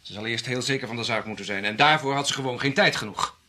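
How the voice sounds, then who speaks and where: A man's voice: medium pitch, quick pace, sharp tone. A man playing a curt, cold henchman. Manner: curt, clipped. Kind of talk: radio play acting.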